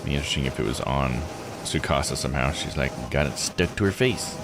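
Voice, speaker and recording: A man's voice: extremely low pitch, fairly quick, over music. A man giving casual, laid-back game commentary as a streamer, musing aloud as he plays. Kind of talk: casual let's-play commentary.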